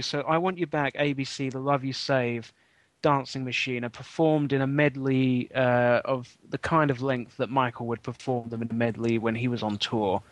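A man's voice: mid-range voice, no room sound. Chatty man, dry close sound. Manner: chatty, wry storytelling with many fillers. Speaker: podcast co-host. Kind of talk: casual chat.

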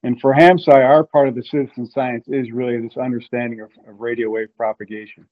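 A man's voice: medium pitch, fairly even intonation, brisk, lo-fi sound. A man from Ohio, a guest in an interview, explaining at length with frequent restarts. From Ohio, USA.

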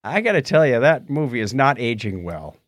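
A man's voice: mid-range voice, sing-song, a little fast, no echo, clean studio sound. A middle-aged man co-hosting a podcast, recounting things casually and often repeating the starts of his phrases. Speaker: podcast co-host. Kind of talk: casual chat, recounting films.

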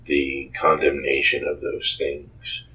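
Male interview guest with an extremely low voice, conversational, thoughtful and halting delivery. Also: huge pitch swings, sharp tone, low-quality recording. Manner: halting, thoughtful, frequent pauses. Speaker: interview guest, author and game designer.

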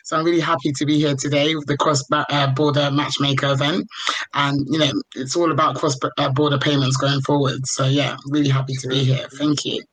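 A man with a slightly raised pitch speaks as a company founder on an online panel. He presents in the style of a business pitch. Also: level tone, brisk, a bit bright, low-quality recording. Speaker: company founder and chief executive.

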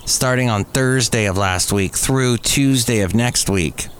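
A man's voice: medium pitch, a little slow, a little hiss or hum. A man hosting a podcast, reading news items conversationally with casual, wry asides. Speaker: podcast host.

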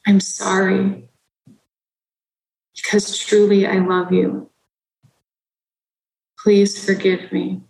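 A woman guiding a slow, soothing body-awareness meditation. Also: mid-range voice, monotone, creaky, a little mellow, reverberant, rough recording. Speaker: meditation guide.

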